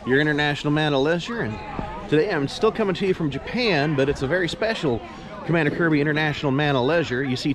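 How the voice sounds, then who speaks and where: A middle-aged man from Texas hosting a casual selfie vlog in a park, talking in a chatty, folksy way and often repeating words while he thinks. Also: fairly high pitch. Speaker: vlogger host. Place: outdoors in a park. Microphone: camera held at arm's length.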